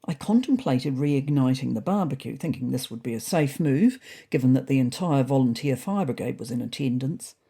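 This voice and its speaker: A woman narrating a storybook diary as a fussy, indignant male house cat, in a wry, prim, deadpan manner. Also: rumbling low, moderate pace, vocal fry, few pauses, husky, heavily acted. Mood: wry, worried. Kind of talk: first-person storybook narration.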